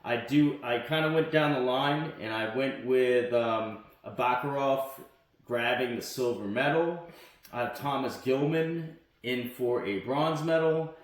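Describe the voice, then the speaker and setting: A man's voice: mid-range voice, recorded from a distance. A man with a mid-range voice gives blunt, defiant wrestling commentary, peppered with "um" and "you know". He sounds some way off the microphone, and the room around him rings with a strong echo. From born in South Korea, raised in the United States. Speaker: wrestling channel host and coach. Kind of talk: opinionated solo commentary to camera. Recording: echoey room, voice off-mic.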